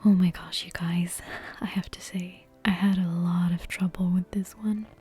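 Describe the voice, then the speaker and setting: A woman whispering softly and breathily into a close microphone as an ASMR host. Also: fairly low pitch, steady tone, a little slow, slightly rough, faint music behind. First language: non-native English speaker. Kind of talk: whispered ASMR bedtime reading and casual chat.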